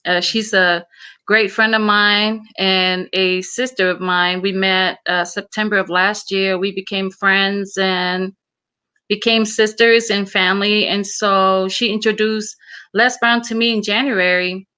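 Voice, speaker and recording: A woman from Samoa with Samoan as her first language, talking slowly and earnestly over a video call. Her voice is bright and forward, and she leans on her words, repeating them for emphasis. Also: mid-range voice, flat delivery, smooth. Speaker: interview guest. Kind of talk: casual interview.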